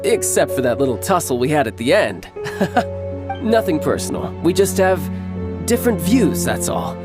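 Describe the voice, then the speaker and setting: Cocky, charming young game warrior: breezy, theatrical, very animated intonation, music underneath. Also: male voice, on the high side, normal speed. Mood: breezy teasing. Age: young adult. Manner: breezy, smooth-talking, theatrical. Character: cocky, playful young warrior and doting big brother. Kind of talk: video game character acting.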